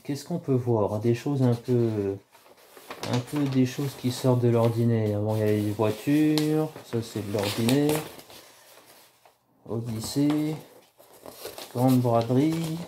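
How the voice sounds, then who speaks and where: Man, 30s, casual home phone vlog; very dark, warm tone, halting and rambling delivery. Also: medium pitch, slight background noise. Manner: rambling, halting, many restarts and fillers. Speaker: YouTuber doing a prank call. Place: small room indoors at home. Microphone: phone camera held low at arm's length.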